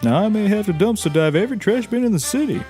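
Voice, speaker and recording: A folksy, naive backwoods tracker with a drawl. His voice sits high but soft-edged and mellow, and he rambles along in audio comedy style over a music bed. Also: animated, fast talker. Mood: eager, agreeable. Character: folksy, naive male Kentucky taxidermist and tracker. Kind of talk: scripted audio comedy acting.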